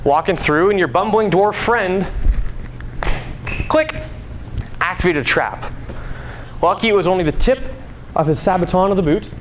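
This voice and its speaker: A young man speaks to an audience in a voice pitched a little high. He is conversational and playful, telling things like a story in an informal public talk. Faint background noise runs behind him in the live recording. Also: normal speed, long pauses.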